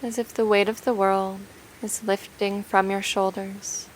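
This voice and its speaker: A woman leads a guided meditation at a slow pace with gentle, soothing delivery. Her pitch stays very even from phrase to phrase. A soft, steady hiss sits behind the voice. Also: rough recording. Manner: soothing, gentle, unhurried with long pauses.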